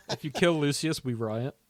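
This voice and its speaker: A man's voice: a little high, lively intonation, measured pace. A British man co-hosting a podcast in casual, jokey banter. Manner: jokey, prone to laughing. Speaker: podcast co-host.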